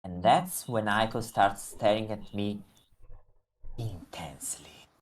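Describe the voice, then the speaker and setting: Woman reading dry, low-voiced visual novel protagonist. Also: slow pace, heavily acted. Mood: narrating, dry. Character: dry, exasperated narrator Hikaru, reluctant roommate.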